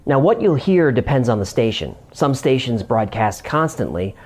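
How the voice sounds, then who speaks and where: A man's voice: medium pitch, big pitch swings, normal speed, a little mellow. A man hosting a scripted explainer, narrating it in a conversational way with room for comic banter. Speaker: host.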